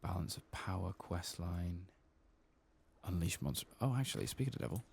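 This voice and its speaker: Very deep, creaky soft-spoken man. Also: normal speed, slight background noise. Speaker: gameplay streamer. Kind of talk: soft-spoken ASMR game commentary.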